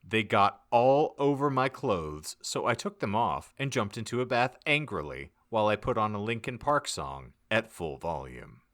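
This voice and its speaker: A man giving a mock-dramatic, theatrical narration of a comedic audiobook, with deliberate emphasis. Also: lowish voice, big pitch swings, a bit bright. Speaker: podcast narrator.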